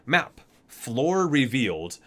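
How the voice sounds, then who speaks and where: A man's voice: medium pitch, very animated, slow pace. A man hosting an ASMR video, reading guidebook entries aloud and sometimes stumbling and correcting himself.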